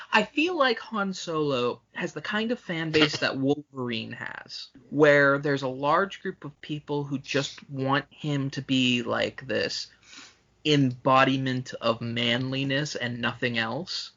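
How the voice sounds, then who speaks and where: A man's voice: medium pitch, unhurried, sharp tone, highs cut off. A man co-hosting a podcast, discussing film casually in a blunt, profane, opinionated way. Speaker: podcast co-host.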